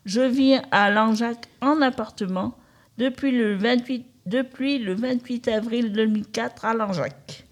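A woman in her fifties speaks slowly and carefully in a fairly high voice, reading prepared lines for a community radio show. She restarts words now and then as she reads. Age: 50s. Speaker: day centre participant.

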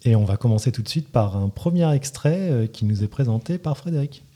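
A man's voice: mid-range voice, animated, a little fast, dark tone. A man presenting a community radio show and introducing the participants, with frequent hesitations. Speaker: radio show host and care worker.

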